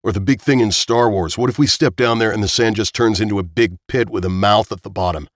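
A man's voice: lowish voice, a little fast, no room sound, studio quality, natural voice. A man voicing a cocky but nervous guy with a casual, blunt manner in audiobook dialogue. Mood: uneasy.

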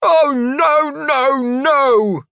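A man voicing a cowardly male thug on a dragon, shrill and shouting in panic. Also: very slow, gravelly, dry recording, exaggerated voice. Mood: panicked.

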